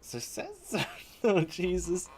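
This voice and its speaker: A woman's voice: lowish voice, big pitch swings, takes it slowly, a crowd in the background. A woman streamer giving casual, wry game commentary in loose asides, often echoing the dialogue.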